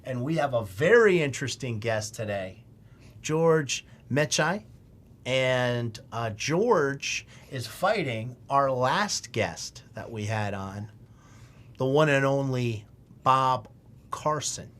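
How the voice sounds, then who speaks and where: A man hosting a podcast in a studio, asking relaxed questions with a jokey edge. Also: mid-range voice, slow. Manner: theatrical intro, then relaxed questions. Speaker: podcast host. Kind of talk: casual podcast interview. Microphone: studio podcast mic.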